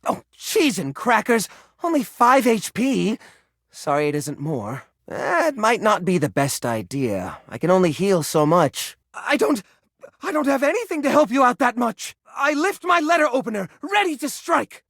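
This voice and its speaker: A man voicing a cheerful, fussy, nerdy household junk drawer in a video game, with enthusiastic delivery and mild, squeaky-clean exclamations. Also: sing-song, a little slow, fairly bright tone, dry recording, clean studio sound, exaggerated voice. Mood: apologetic, fretful, then excited, mock-fierce. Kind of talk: animated video game character voice acting.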